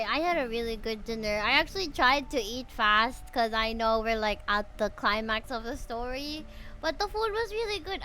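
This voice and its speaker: A woman's voice: fairly high pitch, a bit bright. A bubbly, friendly woman, chatting casually with viewers as she streams a game. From Philippines. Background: music.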